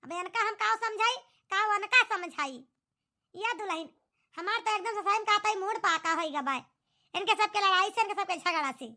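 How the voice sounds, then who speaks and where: A woman's voice: very high-pitched, normal speed, bright, forceful tone. A woman voicing a weary older village mother-in-law in a cartoon, exasperated and pleading, with an Awadhi accent. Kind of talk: rustic cartoon comedy dialogue.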